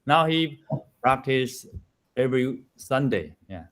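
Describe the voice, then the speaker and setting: A man's voice: medium pitch, lively intonation, slow, a bit bright. A man hosting a meditation center meeting, calling on people in turn to introduce themselves. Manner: friendly, laughs often, calls on each speaker. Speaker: meeting host. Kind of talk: moderating introductions.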